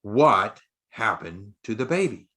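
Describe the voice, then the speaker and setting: A man's voice: on the low side, very animated, slow pace, bright, forceful tone, exaggerated voice. Man voicing an irritable, blunt young girl. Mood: harsh. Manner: perturbed, blunt questioning. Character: irritable, harsh young girl riding with beggars.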